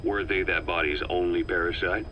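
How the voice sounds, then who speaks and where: Guy doing a terse game soldier asking stuff, real low voice, dry, slightly hissy muffled tape. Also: steady tone, moderate pace, natural voice. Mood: probing. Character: reserved, inquisitive male soldier questioning an elder. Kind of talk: dramatic video game dialogue. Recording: dull cassette tape with faint noise.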